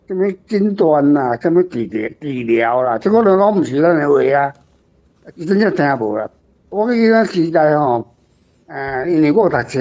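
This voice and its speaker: A man's voice: high-pitched, a little mellow. An older man speaking as a guest author, explaining and reminiscing informally. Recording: dull lo-fi sound.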